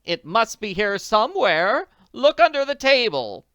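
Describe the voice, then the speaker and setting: A reader voicing an excitable woman in a storybook who keeps crying out in alarm. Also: mid-range voice, moderate pace, clean tone, no echo, studio quality, pitched above the performer's own voice, natural voice. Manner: exclamatory, cries out. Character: excitable woman, the worried lady's friend.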